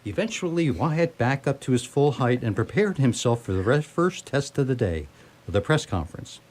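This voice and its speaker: A man narrates a radio play in a mid-pitched voice. His delivery rises and falls in a lively way as he tells the story. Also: moderate pace. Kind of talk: scripted radio drama narration.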